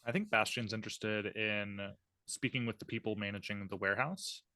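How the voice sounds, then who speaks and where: A man's voice: fairly low pitch, steady tone, fairly quick, pushed and bright. A man casually describing his character's plan as a player in a tabletop roleplaying game.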